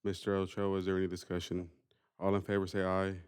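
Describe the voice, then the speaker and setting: Very deep, flat-voiced man chairing a meeting. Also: fast talker, clear, resonant tone. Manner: formal, steady roll-call cadence. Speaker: school board president. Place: board meeting room.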